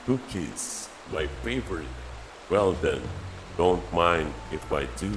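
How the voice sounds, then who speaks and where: A man voicing a doting, scheming demon lover from a fantasy game in a slow theatrical manner, with game music behind him. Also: low-pitched, lively intonation. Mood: lovestruck. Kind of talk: reading game dialogue aloud on stream.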